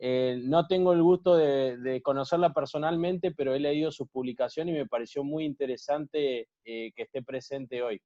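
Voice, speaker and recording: Mellow man on muffled call audio. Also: mid-range voice, normal speed, few pauses. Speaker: intensive care doctor. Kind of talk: formal introduction on a video call.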